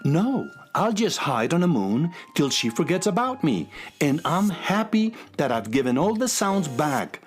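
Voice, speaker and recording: A performer playing a gentle, sad vacuum-hippo creature in a children's audio drama. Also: mid-range voice, sing-song, measured pace, faint music behind. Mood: downcast.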